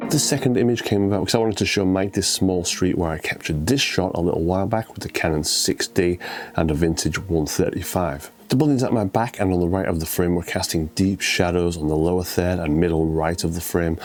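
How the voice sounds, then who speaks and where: A deep-voiced, middle-aged man talks through his photography. His voice is stuffy and a little croaky from a cold. He keeps it relaxed and conversational, with the odd filler. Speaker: photography video host.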